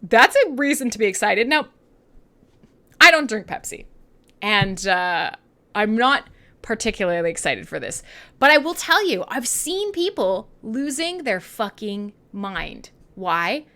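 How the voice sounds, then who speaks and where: A woman's voice: medium pitch, unhurried. A woman in her thirties with a Canadian accent, hosting a radio show in irreverent, sweary, sarcastic casual chat with listeners. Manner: sweary, sarcastic, animated, laughs often.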